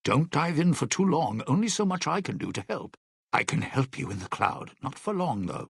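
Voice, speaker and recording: Casting: a world-weary, sardonic showman in a video game; husky male voice, dry close sound, old-time slang and sly patter. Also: on the high side, very animated, moderate pace, fairly bright tone, dry recording, exaggerated voice. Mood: weary, wry. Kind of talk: video game companion voice lines.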